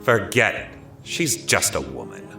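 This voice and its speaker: A swaggering, cruel soldier from an audio story, played by a man. His delivery is low and callous, swinging in pitch. It sits in a roomy echo with music behind. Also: very animated, unhurried, bright, forceful tone, exaggerated voice. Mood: dismissive. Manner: callous, dismissive. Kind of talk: dramatized audio story dialogue.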